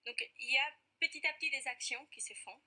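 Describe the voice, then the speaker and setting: Woman guest with an Argentine accent, high bright voice, earnest and hesitant, TV studio. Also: a little fast. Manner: earnest, many hesitations with "euh". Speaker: guest, parent activist. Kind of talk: TV interview answers.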